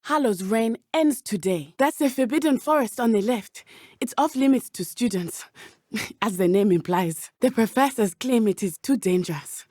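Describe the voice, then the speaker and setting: A woman's voice: fairly high pitch, lively intonation, moderate pace, dark tone, dry recording, studio quality. A woman with a Zimbabwean accent voicing a brave, earnest teenage witch in a video game, speaking in formal, precise phrases. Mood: curious, warm. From southern Africa (Matabeleland). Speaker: student companion character in a video game.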